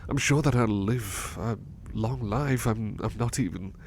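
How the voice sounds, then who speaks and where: Man acting as devoted anxious butler, gravelly low voice, soft stammering delivery, intimate ASMR roleplay. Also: measured pace, slight background noise. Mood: wistful, pleading. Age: middle-aged or younger adult. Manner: hesitant, stammering, soft-spoken, drifting into whispers. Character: devoted, anxious butler, haunted runaway from another world.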